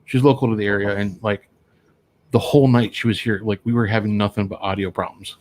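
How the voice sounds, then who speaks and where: A man's voice: fairly low pitch, steady tone, brisk, a little mellow. A man hosting a paranormal talk show from a small studio, rambling with lots of fillers and restarts. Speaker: podcast host. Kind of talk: casual chat. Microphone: studio microphone.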